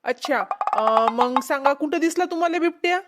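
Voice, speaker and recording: High-pitched officious rescue worker voiced by a woman. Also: animated, moderate pace, loud music behind the voice. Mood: businesslike. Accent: Vidarbha Marathi. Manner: questioning, instructing. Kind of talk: amateur cartoon comedy voice acting.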